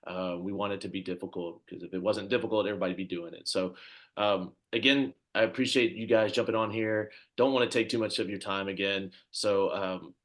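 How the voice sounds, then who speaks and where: A man's voice: deep voice, steady tone, fairly quick. A man hosting a casual video call between youth soccer coaches, chatty and full of fillers, thanking each guest in turn. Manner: chatty, lots of fillers, warmly thanks others. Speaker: club coach hosting the coach introductions.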